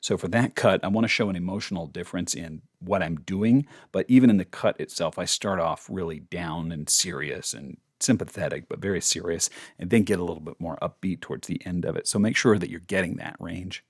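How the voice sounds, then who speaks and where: A man's voice: deep voice, brisk, few pauses, husky. A man talking casually and informally as he walks through a voice-over tutorial. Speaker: voice actor giving a tutorial. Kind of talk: casual instructional chat.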